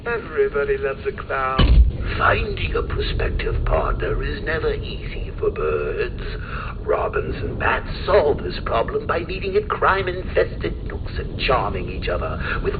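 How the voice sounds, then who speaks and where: A man's voice: on the high side, vocal fry, phone-quality sound, background noise, heavily acted. A man voicing a manic, mocking male clown villain in a video game, cackling and swinging his delivery wildly. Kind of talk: game voice lines.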